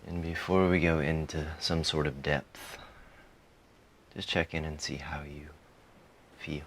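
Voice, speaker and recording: A man guiding a meditation in a calm, soothing manner, giving soft instructions in short phrases with frequent pauses. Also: extremely low pitch, slight background noise. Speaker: meditation guide. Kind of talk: guided meditation, softly spoken instruction.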